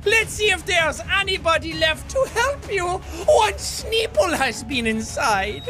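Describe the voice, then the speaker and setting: A man's voice: very high-pitched, big pitch swings, measured pace, over loud music, full character voice. A man playing a cocky, foul-mouthed, manic quack surgeon with a mock German accent, theatrical and erratic, in comedic video game commentary. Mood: boastful, gleeful. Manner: theatrical, boastful, swearing, erratic outbursts.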